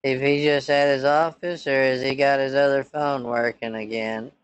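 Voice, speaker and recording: A man's voice: mid-range voice, fairly even intonation, normal speed, clean tone, lo-fi sound. A man in his twenties, a scambaiter posing as a son, chatting casually in a hesitant, rambling way. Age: 20s. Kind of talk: casual phone chat.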